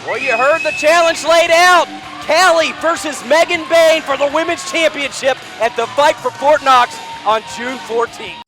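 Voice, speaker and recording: A man doing excited, wisecracking wrestling commentary. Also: very high voice, measured pace, over music, a crowd in the background, rough recording.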